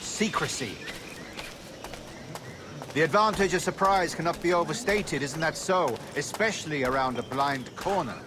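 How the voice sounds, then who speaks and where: An actor playing a refined, ruthless British naval captain in a video game, speaking in a clipped, formal, haughty way. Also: male voice, on the high side, moderate pace, heavy background noise, lightly acted. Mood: appraising. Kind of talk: video game cutscene acting.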